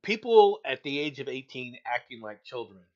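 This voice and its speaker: A man's voice: mid-range voice, sing-song, moderate pace, darkish tone, lo-fi sound. A male talk show host giving blunt, opinionated news commentary, repeating himself for emphasis.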